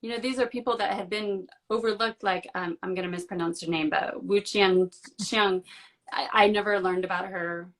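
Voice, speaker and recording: A woman hosting a casual live chat, encouraging her guest with frequent murmurs of agreement. Her voice sits at a moderate, ordinary pitch. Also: fairly even intonation, normal speed, rough recording. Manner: warm, encouraging, frequent backchannels. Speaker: interviewer.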